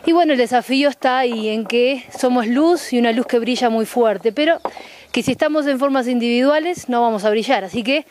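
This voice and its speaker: A woman's voice: a little high, fairly quick, a little mellow, slight background noise. A young woman with a Uruguayan accent giving an informal motivational greeting outdoors. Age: young adult. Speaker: youth ministry delegate. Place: outdoors in a garden courtyard.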